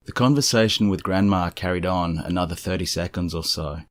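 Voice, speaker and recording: Low voiced guy narrating creepy stories, serious and measured, really dry sound. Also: no echo. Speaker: narrator of strange true stories. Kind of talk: scripted first-person storytelling narration.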